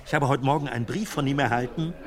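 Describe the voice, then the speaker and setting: Picture a genial middle-aged gentleman in a radio play, chatty and proud as a father, voiced by a man in a medium-pitched voice. He sounds sure of himself and talks freely. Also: fast talker, a crowd in the background, close to an everyday voice. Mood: hopeful.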